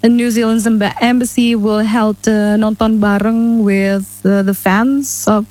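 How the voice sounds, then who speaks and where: A woman's voice: medium pitch, little pitch movement, measured pace, darkish tone, no echo. A woman hosting a casual radio interview in a studio, chatty, with frequent "uh" and tag questions. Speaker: radio host.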